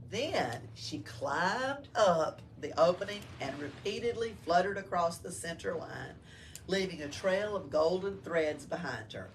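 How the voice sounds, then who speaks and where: A woman's voice: lowish voice, distant mic. A woman reading a story aloud to children as a library storyteller, explaining it in simple words. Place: library room. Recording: faint background noise.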